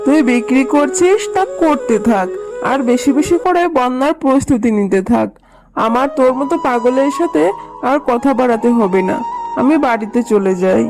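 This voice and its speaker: A selfish, scheming tigress aunt from a children's cartoon, acted by a woman. The voice is high, mocking and brusque, quick to scold and dismiss. Music is clearly heard behind it. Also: animated. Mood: mocking, dismissive.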